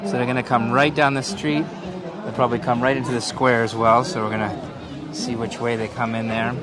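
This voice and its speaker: A man from Canada, hosting a live walking tour of a night street festival and chatting with viewers over loud music. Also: mid-range voice, flat delivery, fairly quick. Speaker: live-stream host. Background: loud live folk music and a crowd.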